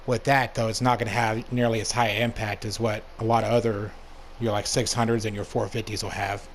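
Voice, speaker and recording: A young man with a hoarse voice from allergies, casually talking through a game review as the channel's host. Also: on the low side, flat delivery, a little fast, a little hiss or hum. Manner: laid-back, plain-spoken, rambling asides. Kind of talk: casual gameplay commentary.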